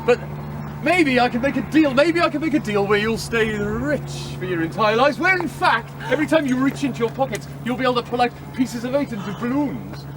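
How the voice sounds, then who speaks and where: A man voicing a dapper, smooth-talking devil with a wandering French accent, recorded outdoors with street noise. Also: very high-pitched, animated, a little fast, pitched above the performer's own voice. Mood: wheedling. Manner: wheedling, bargaining, wavering French accent.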